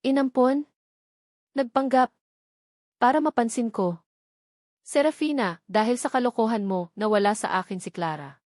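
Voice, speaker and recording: A woman's voice: a little high, moderate pace, pauses often, a little mellow, no room sound, clean studio sound, close to an everyday voice. A woman voicing a heartbroken, angry husband in a narrated romance story, emphatic and dramatic. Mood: angry, disbelieving.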